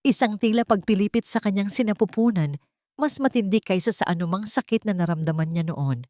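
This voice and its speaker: A woman narrating a dramatic audiobook story in solemn, emotive, measured phrases. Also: mid-range voice, darkish tone, dry recording, studio quality.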